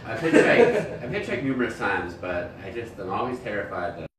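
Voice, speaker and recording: A man hosts a relaxed chat and keeps tossing in short, quick reactions. His fairly low voice swings widely in pitch and sits far from the mic. Clear background noise fills a very echoey room. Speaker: interview host. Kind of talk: casual interview chat.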